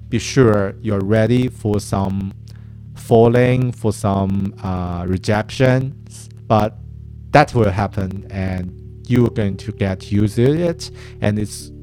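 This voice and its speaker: Casting: male design mentor, non-native speaker with Chinese as his first language, lowish voice, unhurried delivery, soft guitar underneath. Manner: slow, halting, with restarts and fillers. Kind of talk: advice talk to camera.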